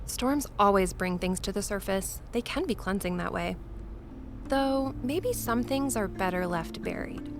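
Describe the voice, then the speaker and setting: A woman's voice: mid-range voice, measured pace, background noise, lightly acted. A woman playing a mystical ghost tour guide in an audio drama, ominous and savoring her spooky tales. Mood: cryptic.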